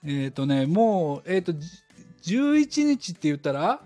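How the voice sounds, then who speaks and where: A woman's voice: fairly low pitch, very animated, moderate pace, mellow, faint music behind. A woman with a Kansai Japanese accent in casual chat, building up hesitantly and tossing out comic retorts. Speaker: guest or co-host on a live audio chat.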